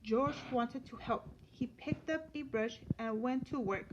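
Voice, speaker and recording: High-voiced woman reading a children's story, unhurried. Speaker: teacher reading a picture book aloud. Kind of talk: children's story read-aloud. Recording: dull lo-fi sound with light noise.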